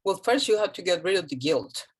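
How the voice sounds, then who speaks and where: A woman from Colombia, talking as an interview guest, pausing often with ums and restarts. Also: on the low side, lively intonation, breathy edge. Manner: hesitant, many ums and restarts. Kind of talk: casual interview conversation.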